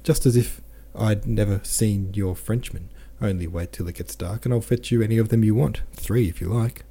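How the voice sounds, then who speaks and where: A man reading the lines of a bold, cheeky male peasant scout, in a hurried, brash way. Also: lowish voice, dark tone, breathy edge. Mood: cocky.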